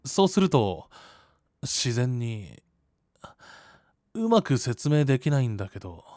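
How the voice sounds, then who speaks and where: A man voicing a polite, modest, level-headed teenage schoolboy with gentle, measured speech in an anime-style voice drama. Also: mid-range voice, big pitch swings, no echo, clean studio sound.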